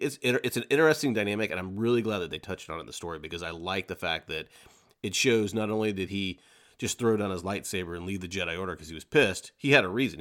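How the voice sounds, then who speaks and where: Fast-talking man, low-pitched podcast co-host. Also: fairly bright tone. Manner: emphatic, acts out characters' thoughts. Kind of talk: casual book discussion.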